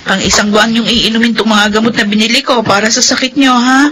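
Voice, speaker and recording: A woman playing a caring, patient grown daughter in a radio drama, reasoning gently. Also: on the high side, steady tone, quick pace, over loud music, close to an everyday voice. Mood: concerned, reasoning. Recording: noisy ambient background.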